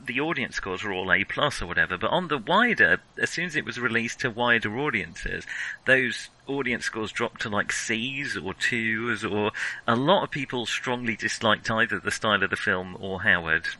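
A man hosting a casual film discussion, talking in a hesitant, halting way as he thinks aloud. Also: on the low side, animated, pushed and bright, rough recording. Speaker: film podcast host.

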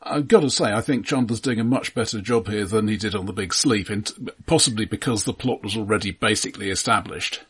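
A man co-hosting a film podcast, who talks in a measured, informative way and adds dry quips. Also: on the low side, fairly bright tone, slightly rough. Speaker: podcast co-host. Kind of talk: casual film podcast chat.

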